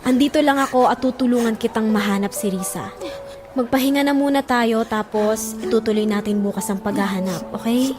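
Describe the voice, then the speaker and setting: Actress as caring female friend, high pitch, Filipino accent, soothing, gently insistent, audio drama, music underneath. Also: a little fast, natural voice. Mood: reassuring. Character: caring, reassuring female friend of a distressed mother.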